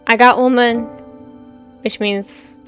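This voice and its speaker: A young adult woman whose native language is Swedish, hosting a reaction video in casual, musing commentary that often trails off quietly. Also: on the high side, steady tone, slow pace, soft background music. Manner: musing, hedging, soft-spoken with frequent quiet trailing asides.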